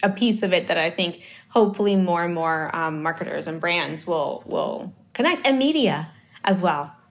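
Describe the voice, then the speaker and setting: A woman's voice: fairly low pitch, animated, moderate pace. A woman from the Dominican Republic talking about marketing in a casual conversation, thinking aloud with many fillers and restarts. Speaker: marketing guest.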